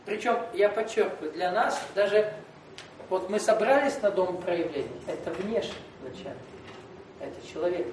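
A man's voice: high-pitched, moderate pace, darkish tone. A man lecturing to a gathered group as a spiritual teacher, explaining and asking rhetorical questions. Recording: echoey hall, recorded from a distance with faint noise.

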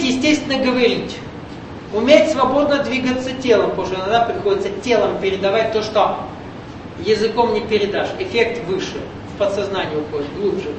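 A man leading a seminar, giving an explanatory spiritual lecture and often checking in with his audience. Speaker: seminar leader. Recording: very echoey room from far off, rumbling noise.

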